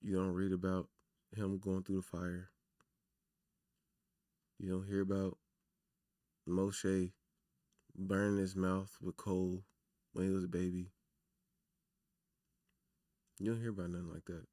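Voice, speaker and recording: A man with a low, naturally raspy voice hosts a casual livestream, talking loosely and warmly to his viewers. His sound is dry and close, with no room echo, as if picked up by a desk mic at home. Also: completely flat delivery, takes it slowly, pauses often. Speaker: livestream host.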